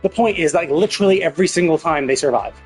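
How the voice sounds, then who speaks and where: Higher-pitched husky man ranting casually about games. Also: lively intonation, fast talker, faint music behind. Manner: opinionated, emphatic, profane. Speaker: game streamer. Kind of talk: casual gaming commentary rant.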